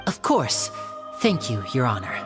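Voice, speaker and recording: A woman's voice: very deep voice, sing-song, unhurried, full character voice. A woman voicing a poised, courteous young defender in a video game courtroom, polite, composed and persuasive. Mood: calm, persuasive. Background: game music.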